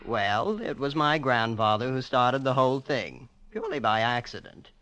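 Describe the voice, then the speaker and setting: A man's voice: medium pitch, normal speed, pushed and bright, natural voice. An actor playing a casual, nonchalant young rich man in a 1940s radio drama. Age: young adult. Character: nonchalant young heir to a secret fortune.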